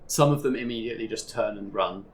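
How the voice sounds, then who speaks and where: A man narrating as game master of a tabletop roleplaying game, measured and descriptive, with frequent fillers. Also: lowish voice.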